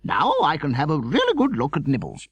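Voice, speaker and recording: A man voicing a gushing, short-sighted, excitable woman in an audiobook, effusive and full of exclamations. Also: fairly high pitch, a little fast, husky, no echo, dull audio, voice pushed up, heavily acted.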